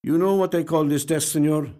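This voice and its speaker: A man voicing a weary, grave police detective with deliberate, heavy pauses in a short story reading. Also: fairly high pitch, fairly even intonation, mellow, pitched above the performer's own voice, lightly acted. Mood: deadpan. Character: weary, grave Spanish-speaking male police detective of cold cases.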